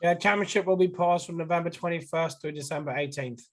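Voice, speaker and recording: Teasing Geordie man, higher voice. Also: steady tone, quick pace. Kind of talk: casual chat among fans.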